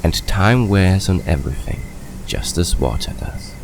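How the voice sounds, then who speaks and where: A man playing an ancient, weary dragon mentor in an old man's form, speaking slowly and solemnly in an audio drama. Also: low voice, lively intonation. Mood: wise, gentle. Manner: slow, solemn, soothing. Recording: clearly noisy background.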